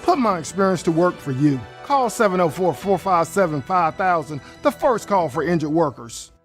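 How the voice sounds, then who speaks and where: A woman's voice: lowish voice, lively intonation, normal speed. A woman lawyer reading a scripted personal testimonial advert aimed at injured workers. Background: music.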